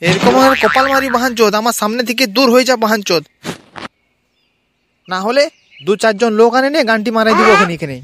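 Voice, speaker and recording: Guy doing angry village boss in a cartoon, really high voice, crude scolding, bengal countryside accent, noisy homemade audio. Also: fast talker, pauses often. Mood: furious. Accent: rural West Bengal. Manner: berating, crude, bossy. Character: irate, foul-mouthed male village council chief. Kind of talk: comic cartoon voice acting.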